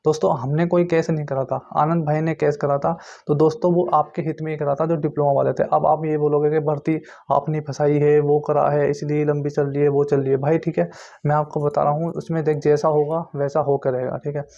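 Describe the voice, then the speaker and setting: A man talks straight to his viewers in an informal explainer style. His voice sits slightly high yet has a soft, dark tone. He hammers points home by repeating them, and the sound is somewhat dull and lo-fi. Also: little pitch movement, fairly quick, vocal fry. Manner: repetitive, insistent explaining with frequent check-in tags. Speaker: YouTube host giving exam recruitment updates. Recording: muffled lo-fi recording.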